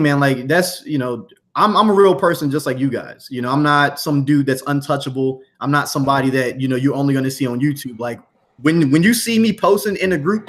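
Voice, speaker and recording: Man, slightly high voice, slangy, earnest, repetitive, casual sales-pitch chat. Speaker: course seller and guest.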